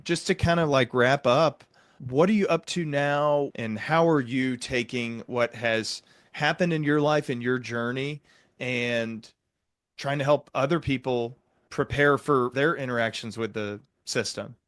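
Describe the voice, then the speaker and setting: A man hosting a reflective interview, explaining things in a measured, reassuring way. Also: mid-range voice, fairly bright tone, dry recording, clean studio sound. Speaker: interview host and psychiatric clinician.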